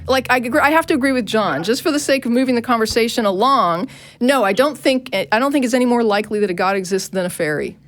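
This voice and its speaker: A woman's voice: a little high, expressive, fast. A woman hosting a call-in show, debating a caller in an argumentative, insistent manner.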